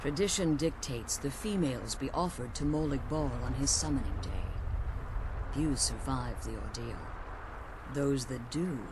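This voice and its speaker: A woman's voice: a bass voice, measured pace, background noise. An actress playing an ancient vampire mother in a video game, imperious, severe and protective, with formal, theatrical speech. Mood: grave, solemn. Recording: loud game ambience behind the voice.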